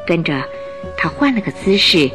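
Animated woman storyteller narrating a radio drama. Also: lowish voice, moderate pace, over music. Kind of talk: expressive scripted storytelling narration.

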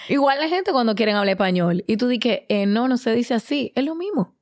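A woman hosting a podcast, chatting in a lively, playful and affectionate way. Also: on the high side, fairly quick. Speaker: podcast host. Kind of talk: casual podcast chat.

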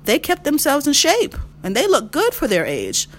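A woman in her mid-thirties hosting a solo podcast, giving emphatic advice, repeating her key phrases and swinging her pitch when she makes a point. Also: wildly swooping pitch, moderate pace. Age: 30s. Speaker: podcast host.

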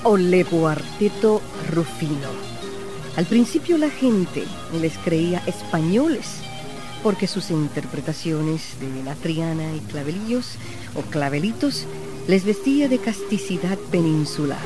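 A woman's voice: fairly low pitch, expressive, a little slow, over music. A woman hosting a radio program, narrating a group's history and interviewing a guest with open enthusiasm and admiration. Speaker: radio show host.

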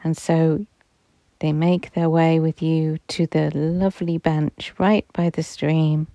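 A woman guiding a visualization meditation in a soft, soothing, unhurried manner, at times dropping almost to a whisper. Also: low voice, flat delivery, no room sound. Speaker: meditation guide. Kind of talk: guided meditation narration.

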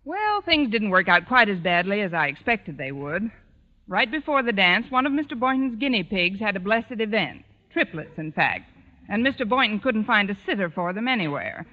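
An actress playing a wry, deadpan schoolteacher in a 1940s radio comedy. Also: female voice, mid-range voice, moderate pace, lightly acted. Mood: dry storytelling. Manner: deadpan, wisecracking. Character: wry, sardonic female high school English teacher, adult. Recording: old radio broadcast, muffled, long reverb.

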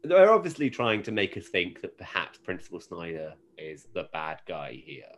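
A man's voice: low-pitched, huge pitch swings, moderate pace, a little hiss or hum. A man co-hosting a casual episode recap, telling it in a hesitant, amused, rambling way. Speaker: podcast co-host recapping a TV show.

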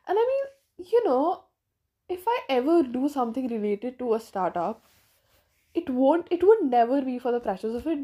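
A woman's voice: high voice, dark tone. Chatty nineteen-year-old woman, rambling. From India. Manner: rambling, thinking aloud with many fillers and restarts. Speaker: podcast host. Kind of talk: casual solo podcast monologue.

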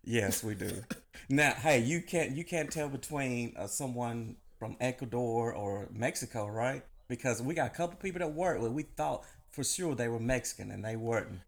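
A man's voice: mid-range voice, normal speed, gravelly. A man co-hosting a podcast, trading jokes and laughing in casual banter. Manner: laughing, joking, animated. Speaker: podcast co-host.

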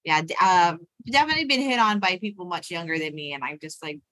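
A woman's voice: fairly low pitch, quick pace, pushed and bright, no room sound. A middle-aged woman co-hosting a casual chat, easygoing and rambling as she talks through her thoughts. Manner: rambling, full of fillers and restarts, easygoing. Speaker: podcast co-host.

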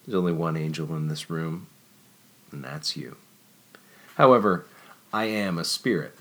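A gentle, courtly male spirit, as a man reading a story plays him. He speaks low and softly, slow and measured, with a calm, even warmth of delivery. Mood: serene.

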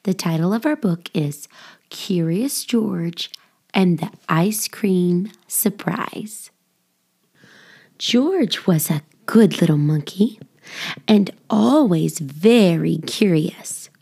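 A woman reading a children's story aloud as scripted narration, with expressive, deliberate phrasing for young listeners. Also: lowish voice, gravelly, slightly rough. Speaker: audiobook reader, children's storyteller.

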